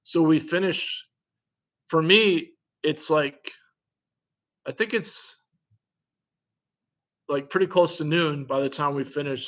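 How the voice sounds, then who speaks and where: A man's voice: fairly high pitch, a bit bright. Man rambling in a chatty, curious way. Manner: rambling, thinking aloud, curious; chuckles often between remarks. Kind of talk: casual chat.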